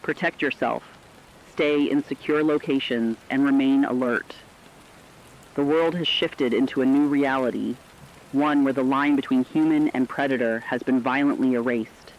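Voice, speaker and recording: A man playing an earnest radio co-anchor, giving scripted, urgent warnings in a serious, deliberate manner. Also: mid-range voice, fairly even intonation, highs cut off, close to an everyday voice. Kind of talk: scripted dramatic news narration.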